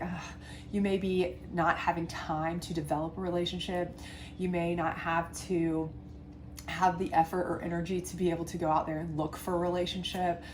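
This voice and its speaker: A woman's voice: lowish voice, darkish tone, a little hiss or hum. A congested woman giving a chatty, reassuring tarot love reading, full of fillers and asides. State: congested from a sinus infection. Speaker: tarot reader. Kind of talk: casual tarot reading to camera.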